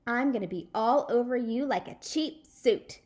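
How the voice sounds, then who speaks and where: A woman's voice: on the high side, normal speed, a little mellow, voice pushed up, natural voice. Woman putting on a grouchy tattletale little girl. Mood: grouchy warning. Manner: scolding, emphatic.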